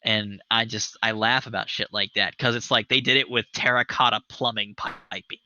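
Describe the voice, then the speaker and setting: A man in his thirties hosts a casual livestream and rambles with eager enthusiasm. His voice is very bright and forward. The audio is dry and a little dull, like a webcam mic. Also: on the low side, steady tone, a little fast, no echo. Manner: enthusiastic, rambling, swears for emphasis. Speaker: show host.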